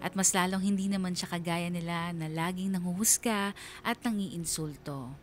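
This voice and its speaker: A woman's voice: on the low side, moderate pace, close to an everyday voice. A young woman with a Filipino accent playing a wistful daughter, narrating a radio drama in a reflective, earnest way. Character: wistful daughter narrating her letter about her mother. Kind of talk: scripted first-person radio drama narration. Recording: music bed under the voice.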